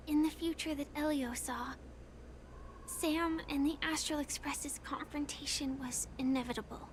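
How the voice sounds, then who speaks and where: An actress playing a gentle, earnest young woman in a game, speaking softly and confidingly. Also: high-pitched, noisy background. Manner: soft, hushed, confiding. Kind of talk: game voice acting. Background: game music.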